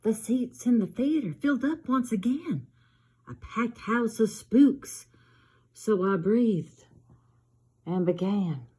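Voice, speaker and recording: A woman's voice: a little high, expressive, takes it slowly, mellow, husky, exaggerated voice. A woman voicing a little zombie ballerina girl, dramatic and eager, in a theatrical children's storybook reading. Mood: wistful, building.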